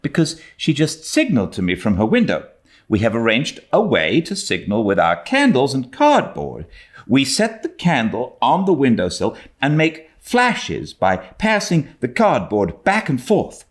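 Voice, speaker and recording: A man voicing an excitable, talkative young girl who pleads effusively in a storybook reading. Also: medium pitch, unhurried, voice pushed up. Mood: eager explaining. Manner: effusive, dramatic, breathless pleading.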